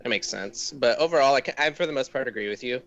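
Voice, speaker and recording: A man's voice: medium pitch, fast, a bit bright, no room sound. A man co-hosting a podcast, chatting casually as he gives his opinions. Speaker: podcast co-host reviewing music. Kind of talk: casual chat. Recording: slightly dull lo-fi audio.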